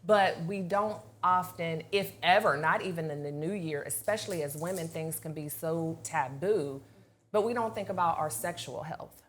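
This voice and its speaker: A woman's voice: on the low side, moderate pace. A woman hosting a women's panel discussion in a conversational way, speaking to the group.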